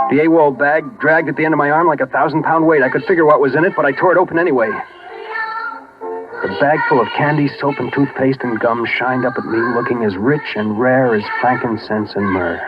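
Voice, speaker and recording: An American actor playing a soldier who recalls a Christmas in Korea with feeling, in a 1950s radio drama. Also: male voice, mid-range voice, close to an everyday voice. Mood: stirred, urgent. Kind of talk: 1950s radio drama first-person narration. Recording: dull 1950s radio recording, music behind. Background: dramatic music.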